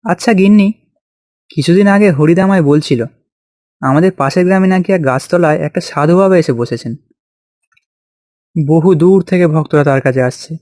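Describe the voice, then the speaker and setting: A man's voice: a little high, moderate pace, pauses often, a little mellow, no echo, studio quality, lightly acted. A man playing a beleaguered farmer in a fairy tale cartoon, with an earnest, exclamatory way of talking. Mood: worried. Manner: exclamatory, plaintive. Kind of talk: children's cartoon voice acting.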